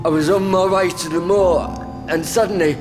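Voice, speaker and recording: An actor playing a scarred, servile, childlike henchman in an audio drama, halting and stammering with fear. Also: high voice, steady tone, lightly acted. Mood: fearful, apologetic. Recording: long reverberant hall, music behind.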